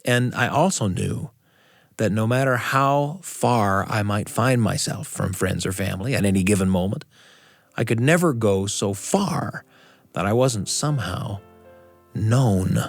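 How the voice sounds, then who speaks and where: A man hosting a radio show, telling stories and chatting with deliberate phrasing and dramatic pauses. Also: lowish voice, vocal fry, dry recording, soft background music. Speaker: radio show host and storyteller. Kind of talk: reflective personal storytelling and hosted studio conversation. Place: radio studio control room.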